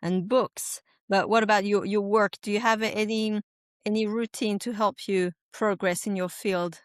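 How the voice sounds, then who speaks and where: A woman's voice: mid-range voice, steady tone, a little slow, no echo, studio quality. A woman hosting a podcast interview, asking her guest questions and encouraging her answers. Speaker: podcast host.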